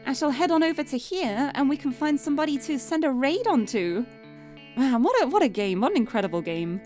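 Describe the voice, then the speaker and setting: A young woman streamer chatting casually and cheerfully, her voice getting a little hoarse and tired. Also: sits high, animated, brisk, a little mellow. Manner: bubbly, chatty, restarts words often. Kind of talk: casual live-stream chat. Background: background game music.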